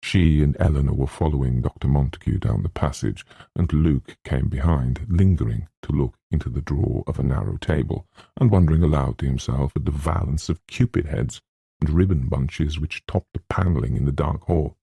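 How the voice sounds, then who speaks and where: A man's voice: extremely low pitch, moderate pace, creaky, warm tone, husky, no echo, studio quality. A man reading literary narration from a script as an audiobook narrator.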